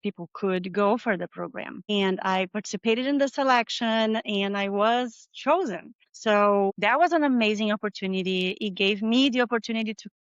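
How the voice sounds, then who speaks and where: A woman's voice: mid-range voice, normal speed, few pauses, clear, resonant tone, no room sound, highs cut off. A middle-aged woman from southern Brazil with a Brazilian Portuguese accent, answering interview questions in a friendly, reflective way with small non-native slips. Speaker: interview guest, marketing manager. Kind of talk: video interview conversation.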